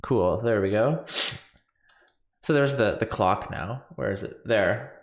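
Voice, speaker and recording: A man in his thirties with a lowish voice talks through his coding project, stuffy from allergies and full of ums and restarts. He speaks into a big condenser mic in a small home room with a roomy echo. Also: slow pace. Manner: rambling, filler-heavy, self-correcting. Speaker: coding livestream host. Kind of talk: casual screen-share coding walkthrough. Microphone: large studio condenser mic on boom arm.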